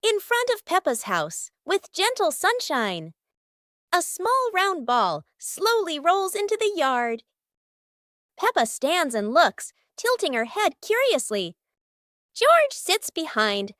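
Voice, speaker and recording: Very high-pitched woman narrating children's stories. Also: huge pitch swings, takes it slowly, fairly bright tone, no room sound, studio quality. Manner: simple, storybook-like delivery in short sentences. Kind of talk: scripted children's story narration.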